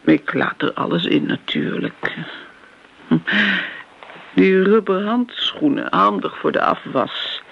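An actress playing an eccentric, lonely older lady customer in a radio drama, rambling genteelly in a breathy, wistful voice. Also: lowish voice, lively intonation, slow pace, pauses often, a bit bright, exaggerated voice. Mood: confiding. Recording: muffled, noisy old radio play recording.

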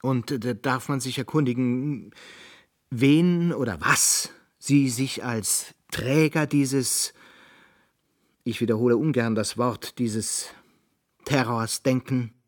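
An actor playing an eloquent Italian humanist idealist, courteous yet theatrically rhetorical, in a radio drama. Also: male voice, medium pitch, takes it slowly, close to an everyday voice. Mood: appalled. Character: high-minded humanist Italian gentleman, eloquent idealist debater. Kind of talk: German radio drama acting.

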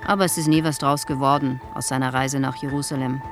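An actress playing a plain-spoken theatre dresser in her late fifties, recounting haltingly and reflectively in a radio play. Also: very deep voice, fairly even intonation. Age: 50s. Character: reserved theatre dresser aged fifty-nine, plain-spoken, regretful. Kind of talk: radio play acting, interview testimony. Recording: music under the voice. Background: music.

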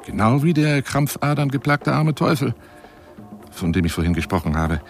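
A man's voice: mid-range voice, big pitch swings, normal speed, faint music behind. An actor playing a boastful, lonely male adventurer who courts a woman in florid, courtly speech in a German radio play monologue. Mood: dreamy, courtly. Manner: florid, courtly, insistent, swinging between whisper and exclamation.

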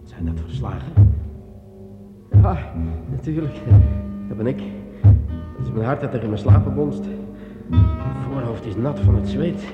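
An actor playing a jittery swordsman in a radio drama, talking to himself in halting, repeated phrases. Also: mid-range voice, animated, lo-fi sound, close to an everyday voice. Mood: nervous, uneasy. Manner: agitated self-talk, halting and repeating words. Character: jittery man with a sword alone in a dark cellar, muttering to himself. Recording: echoey, with loud music behind.

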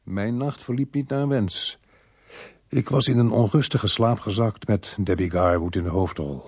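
A man's voice: lowish voice, a little slow, darkish tone, husky, no room sound. An actor playing a weary, sceptical policeman in a Dutch radio play, dry and grumbling, with a Netherlands Dutch accent. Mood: wry, weary. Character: weary, sceptical policeman narrating his own case.

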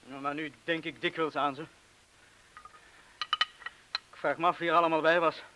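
A man's voice: on the high side, level tone, moderate pace, noisy background, close to an everyday voice. An actor in a radio drama playing a blunt, haunted bomb disposal man with a terse, urgent way of talking. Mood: brooding, blunt.

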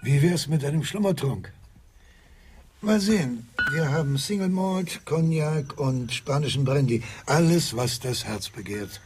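A man's voice: fairly high pitch, animated, measured pace, very noisy. An actor in a German radio drama playing a pragmatic, matter-of-fact man with a composed manner. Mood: hospitable. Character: matter-of-fact, pragmatic male station colleague.

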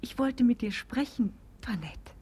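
A woman's voice: fairly high pitch, lively intonation, unhurried, mellow, breathy edge, heavily acted. An actress playing an eager, confiding young lady of the house in a radio comedy. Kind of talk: German radio play comedy acting.

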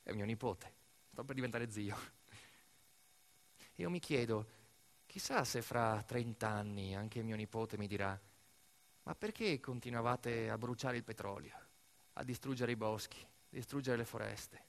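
A man's voice: medium pitch, unhurried, vocal fry, pauses often. A man performing a dramatic storytelling monologue, with emphatic, building, repeated phrases. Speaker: stage actor and narrator of a documentary monologue.

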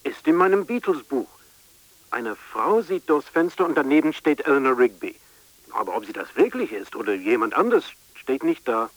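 An actor in a radio play playing a laconic, helpful friend on a phone call, replying briefly and matter-of-factly. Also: male voice, medium pitch, big pitch swings, moderate pace, no room sound. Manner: brief, matter-of-fact replies.

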